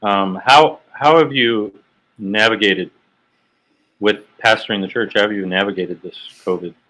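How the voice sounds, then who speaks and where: A man's voice: fairly low pitch, a little slow, pushed and bright, poor audio. An American man hosting a podcast, asking long, winding interview questions. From United States. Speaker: podcast host.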